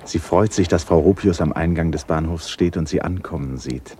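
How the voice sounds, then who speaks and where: A man narrating a literary radio drama in a measured, expressive storytelling manner. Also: low voice. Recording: noisy radio production with ambience. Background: noise, ambience.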